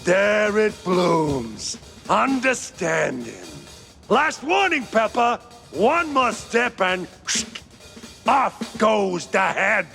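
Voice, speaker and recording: A melodramatic cartoon game villain, taunting and quick to anger. The voice is high and sharp, drawing out its gloating threats slowly. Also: male voice, very animated, gravelly, faint music behind, heavily acted. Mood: gloating, menacing. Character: gloating, theatrical, hot-tempered male villain with grand dreams.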